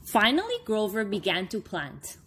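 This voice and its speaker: A woman in her thirties reading a children's storybook aloud, expressively and with deliberate phrasing for young listeners. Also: medium pitch, huge pitch swings, fairly bright tone, a little hiss or hum. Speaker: story time reader for children.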